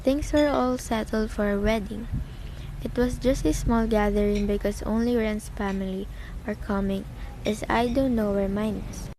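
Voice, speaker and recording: A woman's voice: mid-range voice, steady tone, moderate pace, mellow. A young woman reading scripted first-person story narration in an amateur radio play. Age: young adult. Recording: clearly noisy amateur recording.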